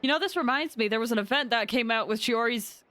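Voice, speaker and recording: A woman's voice: on the high side, fast talker, a bit bright, no echo. A woman streaming a game and chatting casually with viewers in an informal, rambling way. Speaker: live streamer playing a game. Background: game music.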